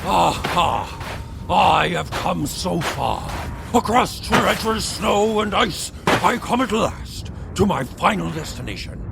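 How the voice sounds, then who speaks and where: An actor playing a rambling, theatrical villain admiral in an audio drama, grandiose with hesitant, dithering asides. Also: male voice, sits high, expressive, takes it slowly, creaky, heavy background noise, heavily acted. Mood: grandiose, muttering. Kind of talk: audio drama acting in old-fashioned adventure style. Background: music.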